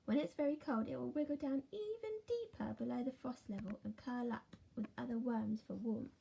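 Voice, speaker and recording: A woman teacher reading a picture book aloud to children, gentle, with soft whispered asides. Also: fairly high pitch, animated, normal speed, creaky, slight background noise, low-quality recording.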